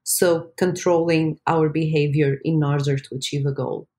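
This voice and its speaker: A woman with a low-pitched voice speaks English as a second language. She explains research terms in clear, measured sentences. Her tone is calm and conversational. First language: not English. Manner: explains terms plainly and precisely. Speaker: senior science writer. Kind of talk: casual podcast chat.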